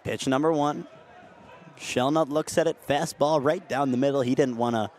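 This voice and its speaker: A young man calling a game as a radio play-by-play announcer, steady between plays and rising to excited shouts on big hits. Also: medium pitch, moderate pace, a little hiss or hum. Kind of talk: radio sports play-by-play commentary.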